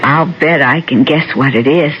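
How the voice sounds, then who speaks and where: A woman playing a frail, devoted elderly wife in a 1970s radio drama, gentle and faltering yet hopeful and determined. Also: sits low, moderate pace, close to an everyday voice. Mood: fond, hopeful. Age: older. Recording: muffled old radio broadcast, faint hiss.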